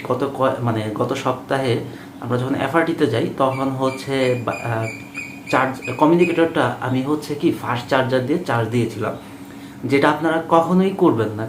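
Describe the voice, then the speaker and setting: A Bangladeshi-accented man speaks in a roomy, echoing space with clear noise behind him. His voice is mid-pitched, and he explains things casually and a little hesitantly, in the manner of a vlogger addressing viewers. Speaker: motorbike vlogger. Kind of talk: casual vlog talk to viewers. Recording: roomy echo, clearly noisy background.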